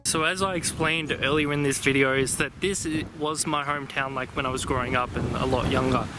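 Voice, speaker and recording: A young Australian man from Tasmania talking casually into a handheld camera while walking outdoors in windy weather, with lots of fillers and quick bursts of excitement. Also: medium pitch. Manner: chatty, filler-heavy, easily excited. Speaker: travel vlogger. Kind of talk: casual vlog narration. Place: outdoors, windy riverside in a small town. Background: loud music.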